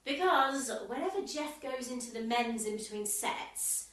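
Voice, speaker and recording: A high, lively female voice with a dry, pointed edge , acted by a woman, playing a competitive club member in a radio comedy. She sounds distant in a very echoey room. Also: a little slow. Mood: dry sarcasm. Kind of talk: amateur radio play comedy acting. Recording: roomy, echoey, distant home recording.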